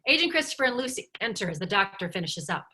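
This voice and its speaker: A woman's voice: mid-range voice, sing-song, fast, sharp tone. A woman narrator reading stage directions from a script at a table read.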